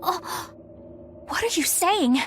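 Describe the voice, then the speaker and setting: A woman's voice: very high-pitched, lively intonation, takes it slowly, fairly bright tone, a little hiss or hum, exaggerated voice. A woman playing a proper, idealistic young knight in a video game, speaking formally and earnestly. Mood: questioning. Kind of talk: English video game dub acting.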